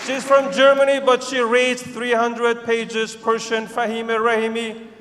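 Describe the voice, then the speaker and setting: A man from Iran, telling a personal story on a theater stage in a halting way, repeating words as he restarts. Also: very high-pitched, monotone, background noise. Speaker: audience member brought on stage. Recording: reverberant theater, loud crowd.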